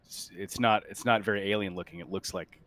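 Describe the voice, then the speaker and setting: A man with a lowish voice runs a tabletop roleplaying game. He describes the setting off the cuff, pausing and restarting as he finds the words. His style is casual and conversational. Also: steady tone, fairly bright tone. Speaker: game master. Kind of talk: improvised narration of a tabletop sci-fi horror game.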